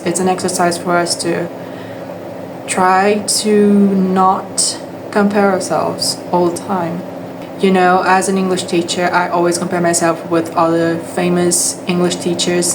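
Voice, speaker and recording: A woman's voice: lowish voice, background noise. A young Brazilian woman, a native Portuguese speaker, talking in English with a Brazilian accent in a hesitant, casual monologue full of restarts. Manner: hesitant, with frequent restarts and fillers. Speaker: English teacher.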